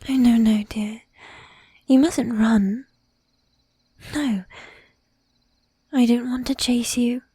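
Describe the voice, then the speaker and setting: Woman playing a breathy, slow-whispering seductive vampire lady. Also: on the high side, fairly even intonation, mellow, no echo, full character voice. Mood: coaxing, menacing. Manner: slow, teasing, commanding, with archaic polite phrasing. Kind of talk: whispered ASMR roleplay.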